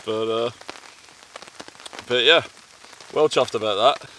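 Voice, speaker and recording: A man with a Scottish accent softened by years in Cornwall and Canada, chatting relaxed and laughing easily at an outdoor camp. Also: mid-range voice, takes it slowly. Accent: Scottish, mixed with time in Cornwall and Canada. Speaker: outdoor vlogger. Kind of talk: casual chat by a campfire. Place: woodland camp outdoors in heavy rain. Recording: rain noise outdoors.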